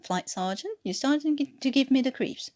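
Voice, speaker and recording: Cheeky wartime airman with English accent played by a woman, chummy, needling, lively swings in pitch. Audio story. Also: moderate pace. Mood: exasperated. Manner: blunt, chummy, sardonic. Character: cheeky British airman prisoner, man, reasoning and needling.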